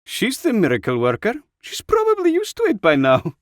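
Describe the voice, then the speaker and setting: Man voicing a brisk, exasperated woman, pitched up. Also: huge pitch swings, dry recording, studio quality. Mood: wry, pressing. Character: Anna, brisk, teasing, exasperated older woman.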